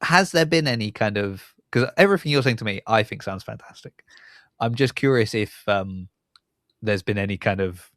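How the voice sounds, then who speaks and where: Low-voiced man hosting, dry close sound. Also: moderate pace, a bit bright, no room sound. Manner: rambling, thinking aloud, often rephrasing mid-sentence. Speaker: podcast host and interviewer. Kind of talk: casual podcast interview.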